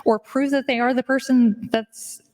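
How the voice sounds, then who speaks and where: A woman with a somewhat high voice argues on the floor of a legislative chamber, into a floor microphone. She reads statute language precisely, then drops into casual, chatty asides to press her case. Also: fairly even intonation, moderate pace, darkish tone. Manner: argumentative, mixes statute readings with casual asides. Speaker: state legislator in floor debate.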